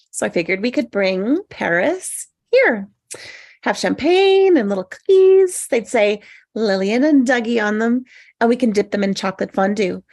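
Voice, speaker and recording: Higher-pitched, earnest maid of honour Annie, played by a woman. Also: a little mellow. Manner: earnest, hesitant. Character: eager, anxious, modest maid of honour Annie. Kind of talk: screenplay table read.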